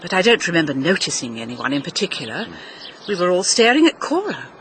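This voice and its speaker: A woman's voice: fairly low pitch, sing-song, fairly quick, fairly bright tone. An actress playing a genteel, troubled English lady in a radio drama, polite and hesitant, with an English accent. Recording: noisy outdoor scene ambience.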